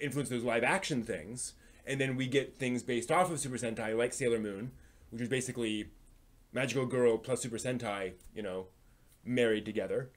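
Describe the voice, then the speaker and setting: A man hosting a live stream, talking in a casual, analytical way and often halting as he thinks aloud. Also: mid-range voice. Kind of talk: casual rambling live commentary on anime and comics.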